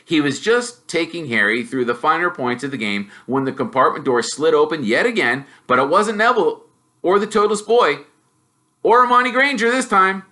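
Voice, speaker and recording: A man reading a children's novel aloud as an expressive storyteller. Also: fairly high pitch, moderate pace. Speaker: storybook reader, teacher.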